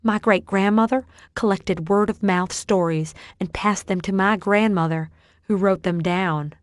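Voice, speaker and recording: A woman voicing a folksy woman who keeps her family's old stories and warns of forces in the dark. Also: medium pitch, measured pace, dry recording, clean studio sound, lightly acted. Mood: ominous. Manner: folksy, ominous. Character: folksy woman steeped in local ghost lore.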